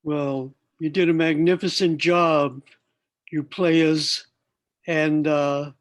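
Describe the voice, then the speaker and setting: A man, a playwright, giving sincere post-show remarks in a halting, measured way. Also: on the high side, flat delivery.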